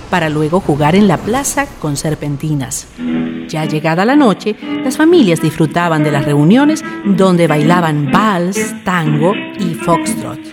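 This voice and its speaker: A woman with a Chilean accent reading scripted narration for a radio drama. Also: lowish voice. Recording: music bed behind narration.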